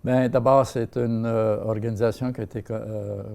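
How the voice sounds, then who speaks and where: An older man from Ottawa with a Franco-Ontarian French accent, speaking as an interview guest and pausing often with fillers and restarts. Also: mid-range voice, flat delivery, gravelly, dark tone. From Ottawa, Canada. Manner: hesitant, many fillers and restarts. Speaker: guest, seniors' association president. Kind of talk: casual interview talk.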